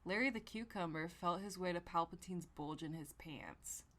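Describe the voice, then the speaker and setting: A woman podcast host reading comic fan fiction aloud and chatting casually, expressive and foul-mouthed. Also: fairly low pitch, moderate pace.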